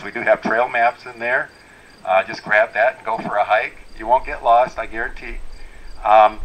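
Middle-aged tree farm owner, handheld mic in the woods; easygoing, full of "uh"s and restarts. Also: male voice, mid-range voice, fairly bright tone, low-quality recording. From Wisconsin. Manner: unhurried, plainspoken, many fillers and restarts. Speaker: tree farm owner giving a welcome talk. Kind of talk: informal outdoor talk to a tour group. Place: outdoors in a forest clearing. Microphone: handheld microphone.

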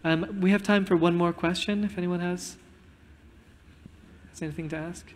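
A man's voice: sits high, fairly even intonation, moderate pace, big-room sound. Man moderating, noisy distant mic. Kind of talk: moderating a festival Q&A.

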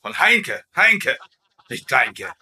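An actor playing a pompous, indignant German field marshal, haughty and commanding, in a comedy dub. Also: male voice, medium pitch, big pitch swings, extremely slow, sharp tone, full character voice. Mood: indignant, boastful. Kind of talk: dubbed TV sitcom acting.

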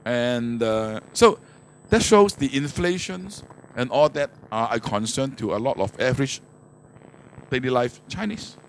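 A man's voice: mid-range voice, animated, measured pace. Older man on a noisy conference mic. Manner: non-native English phrasing with grammatical slips. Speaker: manufacturer speaking as conference panelist. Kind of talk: panel discussion, explaining business trends. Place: conference stage, indoors, before an audience. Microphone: handheld microphone.

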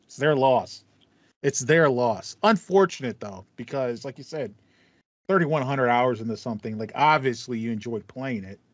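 A man's voice: mid-range voice, expressive, normal speed, no room sound. A man chatting casually as a gaming podcast host, sympathetic and mock-indignant. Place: small room with acoustic foam, indoors. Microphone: headset on a video call. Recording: slightly dull, lo-fi online call.

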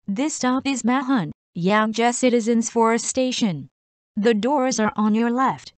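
Very slow, deliberate woman train announcer. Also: fairly high pitch, dry recording. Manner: formal, deliberate enunciation. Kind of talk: scripted subway public announcement.

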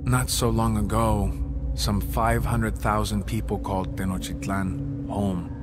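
A man playing a proud, solemn Aztec warrior emperor, narrating a game cutscene in a grave, declamatory and measured way. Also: fairly low pitch, flat delivery, natural voice. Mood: resolute, somber. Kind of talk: dramatic first-person scripted narration. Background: background music.

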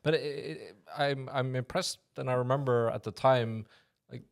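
A man hosting a podcast interview, talking in a casual, halting way with many restarts. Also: mid-range voice, steady tone, gravelly. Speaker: podcast host. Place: glass-walled office studio. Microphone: desk podcast mic with headphones.

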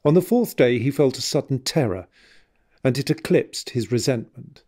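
An actor playing a solemn narrator who reads a novel chapter aloud in an audio drama, measured and grave. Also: male voice, medium pitch, expressive, warm tone, lightly acted. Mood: solemn, brooding.

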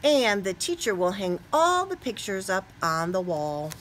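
A woman's voice: medium pitch, unhurried, slight background noise, rough recording. A middle-aged American woman teaching kindergarten, talking playfully to young children as she leads a read-aloud. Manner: animated, playful, exaggerated questions and reactions as to small children. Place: small classroom, indoors.

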